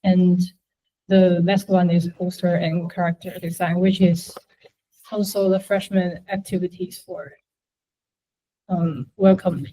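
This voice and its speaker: A young woman whose first language is Chinese, presenting her portfolio to a class in a halting way with many fillers. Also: lowish voice, flat delivery, warm tone, low-quality recording. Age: young adult.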